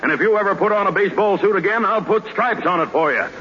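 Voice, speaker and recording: An actor playing a stern, suspicious baseball club boss with an American accent, sarcastic and accusing, in 1930s radio drama. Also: male voice, high voice, lively intonation, quick pace. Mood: angry. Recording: muffled, noisy 1930s radio broadcast.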